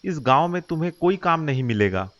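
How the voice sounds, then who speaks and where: A man's voice: fairly high pitch, sing-song, brisk, a bit bright, dry recording. A man playing a supportive village father who reassures a grown son in a cartoon story. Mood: reassuring. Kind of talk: Hindi cartoon moral-story voice acting.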